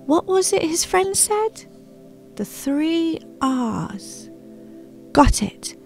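A woman's voice: very high voice, big pitch swings, very slow, darkish tone, faint music behind, pitched above the performer's own voice, full character voice. A woman voicing an anxious, eager young boy ninja from a storybook, in an exclaiming, self-questioning manner. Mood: remembering.

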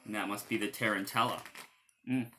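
Bright-voiced man reading a storybook. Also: lowish voice, fairly even intonation, fairly quick, a little hiss or hum. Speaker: storybook reader. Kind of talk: animated children's read-aloud.

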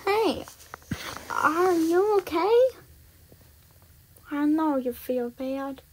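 A woman plays an adventurous young female pirate with a high voice that swoops expressively. She speaks at an unhurried pace, earnest and wistful, with dramatic cartoon emotion. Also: lively intonation, heavily acted, low-quality recording. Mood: soft, caring. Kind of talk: animated character voice lines.

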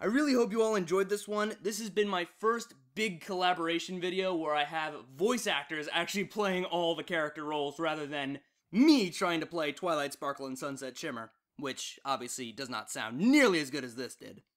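A man's voice: a little high, normal speed, few pauses, fairly bright tone. A man narrating fan fiction as a story reader and channel host.

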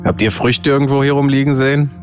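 A man's voice: medium pitch, fairly even intonation, fast, soft background music. A man chatting as a player in a tabletop role-playing game, dry and matter-of-fact. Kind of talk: casual game chat, partly in character.

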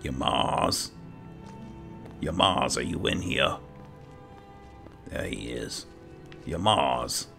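A man's voice: very deep voice, slow, vocal fry, pauses often, pushed and bright, faint music behind. A man giving casual roleplay commentary as a gaming video host, close on the microphone.

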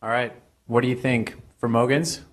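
A young man hosting a live event, moderating in a brisk, upbeat way. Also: mid-range voice, fairly even intonation. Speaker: event host. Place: small event stage with audience. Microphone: handheld mic.

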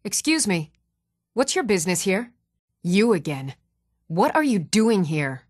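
An actress playing an even-toned fantasy townswoman in scripted video game dialogue, with a measured, composed delivery. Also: mid-range voice, dry recording, studio quality. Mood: guarded, composed.

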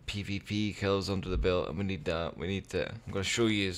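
A man's voice: low-pitched, a little fast, creaky. A man with a Scottish accent giving casual, sweary, rambling commentary as he plays a video game. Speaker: gaming video creator.

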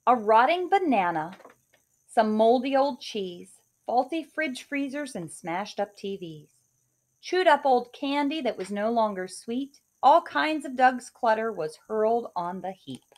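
A woman in her forties, a teacher, reading a rhyming picture book aloud in a sing-song, expressive way at home into a webcam. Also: fairly high pitch, unhurried. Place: home living room.

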